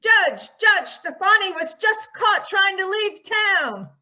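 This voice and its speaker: A woman playing an excited newspaper reporter in an old-time radio drama, with an urgent, questioning manner. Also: very high voice, slow pace, full character voice.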